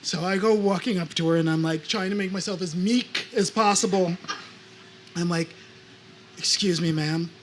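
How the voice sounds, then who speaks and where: A man's voice: high voice, normal speed, a little hiss or hum. A man from the United States, a panelist author, telling a story animatedly on a live panel and acting out other people's voices.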